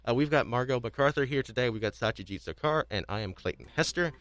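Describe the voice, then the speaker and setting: A young man hosting a casual podcast discussion, speaking in a halting way and often repeating words as he goes. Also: medium pitch, lively intonation, a bit bright, no room sound. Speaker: podcast host.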